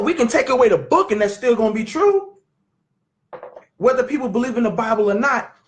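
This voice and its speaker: A man, teaching scripture in an animated, questioning way at a family sit-down. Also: very high voice. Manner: emphatic, questioning, drawn-out teaching. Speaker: Bible teacher. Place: living room at a family sit-down.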